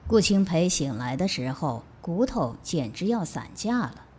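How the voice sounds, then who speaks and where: A woman's voice: lowish voice, big pitch swings, measured pace, a little mellow. A woman narrating an audio drama in a scripted, dramatic storytelling style.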